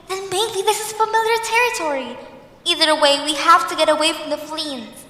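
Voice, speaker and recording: A woman's voice: very high-pitched, sharp tone, exaggerated voice. A woman voicing a brave, clever young creature who leads frightened friends in an amateur cartoon-style audio drama.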